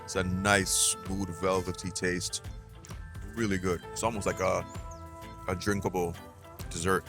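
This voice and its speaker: Male food vlogger, low voice, easygoing, chatty, faint music bed. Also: level tone, measured pace. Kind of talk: casual food review and ad read.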